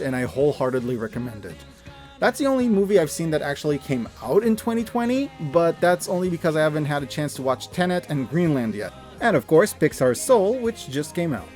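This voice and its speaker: Male review host, fairly high voice, casual and emphatic scripted narration, enthusiastic, a little sweary, light music bed. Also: a little mellow.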